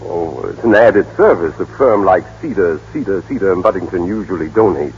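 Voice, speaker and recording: An actor playing a scheming big-city lawyer with a hemming, patronizing manner in old-time radio drama. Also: male voice, deep voice, animated, brisk, darkish tone. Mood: persuasive. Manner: hemming, patronizing, formal address. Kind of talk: 1930s-40s radio drama acting. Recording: dull, noisy old radio broadcast.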